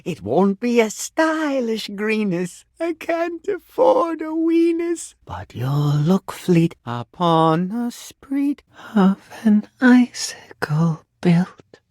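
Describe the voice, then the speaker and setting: A man's voice: high voice, big pitch swings, slow, darkish tone, no room sound, clean studio sound, heavily acted. An actor playing a confused, sentimental sink who thinks he is human in a video game, with theatrical, flowery delivery. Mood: dazed, absurd. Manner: theatrical, flowery, swinging between formal and exclamatory. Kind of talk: video game character acting.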